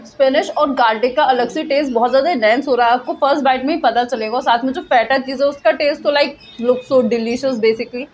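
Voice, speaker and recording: High-voiced chatty woman food vlogger. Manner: chatty, mixes Hindi and English, slow drawn-out tasting remarks. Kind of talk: casual food review vlog. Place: small cafe indoors. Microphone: handheld phone camera at arm's length.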